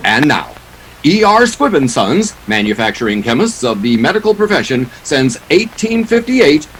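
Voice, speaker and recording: A man's voice: a little high, very animated, moderate pace, soft background music, rough recording. A man announcing a radio play in scripted 1940s style, with a grand, showy manner.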